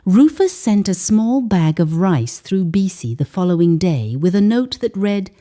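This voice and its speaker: A woman narrating a scripted dramatic story in a solemn, measured manner with deliberate pauses for emphasis. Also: mid-range voice, very animated, dark tone.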